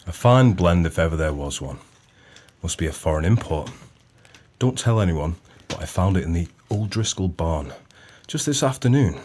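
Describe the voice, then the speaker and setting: Deep-voiced vengeful pub landlord. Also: male voice, lively intonation, a little mellow, slightly rough. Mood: falsely genial. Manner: measured, mock-polite, pointed. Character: vengeful pub landlord, male, sly and coldly menacing. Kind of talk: audio play acting.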